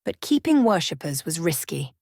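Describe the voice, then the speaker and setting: A woman's voice: deep voice, big pitch swings, moderate pace, a little mellow. A woman reading a scripted bedtime story as a storyteller in an ASMR style.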